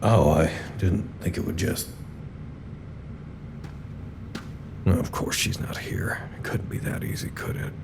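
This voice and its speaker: A man's voice: deep voice, normal speed, in a whisper. A man playing a frantic, rambling facility worker in an audio drama, searching and talking theatrically to himself. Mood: anxious muttering. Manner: theatrical, rambling, talks to imagined listeners. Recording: echoey room with faint noise.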